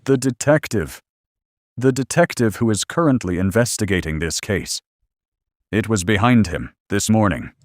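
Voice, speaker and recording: A man playing a skeptical, sarcastic podcast listener growing unnerved by a horror tale, reacting with deadpan disbelief. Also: fairly low pitch, moderate pace, no echo, studio quality, natural voice. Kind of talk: scripted horror podcast banter.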